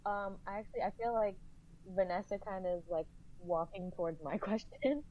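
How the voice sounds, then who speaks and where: A woman's voice: mid-range voice, normal speed, phone-quality sound, slight background noise. A woman asking a question as a guest in a casual round-table discussion, hesitant and tentative in her phrasing.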